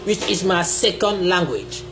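Slow, emphatic man. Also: high-pitched, big pitch swings, background noise. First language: Bassa. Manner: deliberate, emphatic, gestures a lot. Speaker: YouTube creator. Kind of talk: direct-to-camera talk on spirituality. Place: small indoor room, seated on a sofa.

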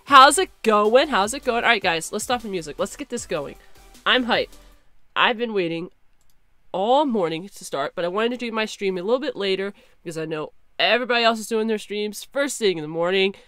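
A young woman streaming a game, chatting casually and excitably with her viewers through a headset, her voice slightly hoarse. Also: mid-range voice, brisk, no echo, soft background music. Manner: chatty, excitable, talks to chat. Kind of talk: casual live-stream chat.

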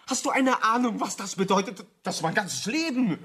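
A man's voice: sits high, lively intonation, normal speed, a bit bright, breathy edge, heavily acted. An actor playing an earnest, ambitious young architect in a radio drama, stammering and repeating words as he pleads. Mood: pleading, fervent. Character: earnest, ambitious young male architect, excitable and easily hurt. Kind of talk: German radio drama acting.

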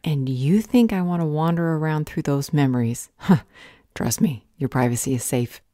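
A motherly vampire healer, acted by a woman, low and soft-toned. She speaks in a measured, earnest way, as a calm and protective mentor in an audio roleplay. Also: no echo, clean studio sound, natural voice. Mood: matter-of-fact.

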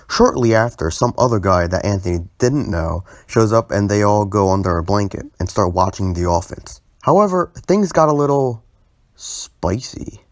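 A man's voice: on the low side, no echo, clean studio sound. A young man narrating a storytime video informally, with a sardonic manner and bursts of exaggerated emphasis.

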